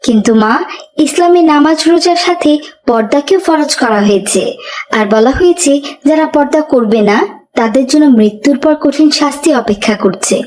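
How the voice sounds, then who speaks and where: A woman voicing a pious, gentle but admonishing young mother in a children's moral cartoon. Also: sits high, lively intonation, normal speed.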